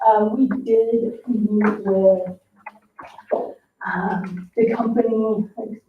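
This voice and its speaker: A woman's voice: medium pitch, fairly even intonation, extremely slow. An American woman explaining things hesitantly as a town staff member presenting at a board meeting. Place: indoor municipal meeting room. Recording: echoey room, distant dull microphone, faint noise.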